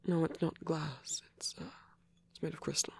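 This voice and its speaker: Soft old vampire priest guy acting it, whispery asmr roleplay, lots of creak, shy and caring, halting delivery. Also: fairly high pitch, steady tone. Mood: awkward, reassuring. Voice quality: breathy, whispery. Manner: halting, bashful, softly reassuring.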